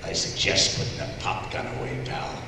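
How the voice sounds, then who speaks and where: Gruff tough-guy cartoon fighter, lowish voice, menacing, sarcastic, very reverberant hall. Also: moderate pace. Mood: threatening. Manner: tough, threatening. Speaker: voice actor at a live table read. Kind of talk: live script table read of an animated series. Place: large convention hall, live on stage. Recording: echoey hall PA from far off, noisy.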